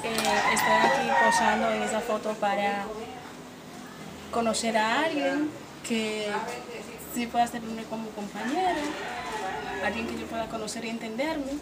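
Dominican woman, soft hesitant, far from mic, loud yard noise. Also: fairly high pitch, fairly even intonation, measured pace, long pauses. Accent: Dominican Spanish. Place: outdoor patio.

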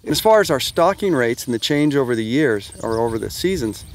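A man's voice: medium pitch, lively intonation, a little fast. An older man, a cattle farmer, describing his routine in a plain-spoken, practical way out in a pasture. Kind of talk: casual explanation. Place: open pasture outdoors. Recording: outdoor field with faint noise.

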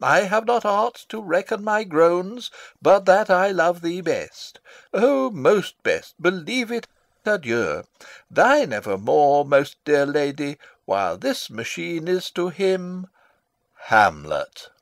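Pompous old counsellor, wildly swooping delivery. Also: male voice, high-pitched, big pitch swings, slow, gravelly, no room sound, heavily acted. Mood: theatrical, declaiming. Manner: rambling, self-satisfied, theatrical. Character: pompous, long-winded old male royal counsellor and father. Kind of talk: classical Shakespearean stage acting, dramatic reading.

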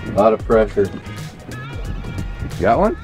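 A man's voice: on the low side, very animated, slow pace, music in the background. A man giving casual running commentary on fishing, outdoors on a boat, recorded on a handheld camera. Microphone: handheld camera mic.

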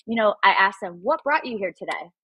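A Spanish-speaking woman in her thirties from Puerto Rico, giving advice in a lively video-call interview through earbuds. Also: medium pitch, a little fast, dull audio, slight background noise. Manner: animated, acts out quoted voices. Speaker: guest photographer and coach. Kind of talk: casual livestream interview. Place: room at home. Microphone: wireless earbuds, video call.

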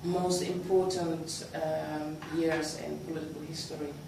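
A woman interviewing, asking long questions with frequent ums and pauses. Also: low-pitched, little pitch movement, vocal fry. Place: meeting room, indoors. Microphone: far camera mic. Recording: echoey room, distant mic, background noise.

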